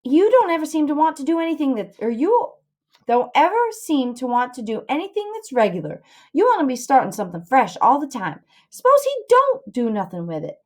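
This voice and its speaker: A woman's voice: sits high, sing-song, brisk, voice pushed up. A woman voicing a bossy, grandiose boy schemer in a storybook reading, lecturing scornfully in rustic dialect. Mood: exasperated, scornful.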